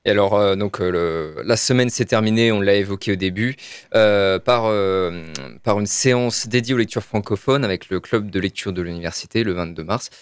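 A man hosting a radio interview, asking questions in a relaxed way with frequent murmured acknowledgements. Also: fairly low pitch, moderate pace, clear, resonant tone, no echo. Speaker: radio host.

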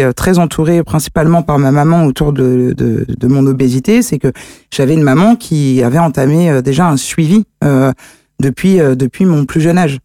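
A woman's voice: deep voice, dark tone, no room sound. A woman in her thirties, a guest on a local radio show, talking frankly and chattily about her own experience in a studio. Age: 30s. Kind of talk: casual radio chat, personal testimony. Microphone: studio microphone.

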